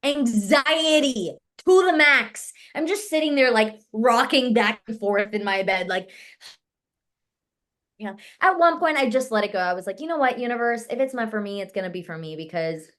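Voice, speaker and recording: A woman's voice: a little high, normal speed. A woman actress and martial artist, telling her story in a casual interview and acting out the dialogue she quotes. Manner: animated, acts out quoted dialogue in her stories.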